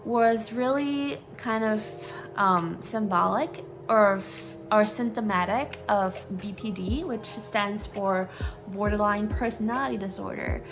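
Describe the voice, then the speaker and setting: A woman's voice: medium pitch, slow pace. Candid young woman vlogging over music. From Singapore. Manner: candid, hesitant, many ums, reading from talking points. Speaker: vlogger, psychology grad student. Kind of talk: personal confessional vlog. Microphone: phone.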